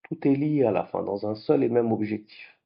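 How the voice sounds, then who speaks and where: A man whose first languages are French and Arabic, hosting a late-night trading vlog in a lively, conversational way. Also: lowish voice, normal speed, vocal fry, slightly rough, lo-fi sound. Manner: animated, conversational, with restarts. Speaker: trading channel host.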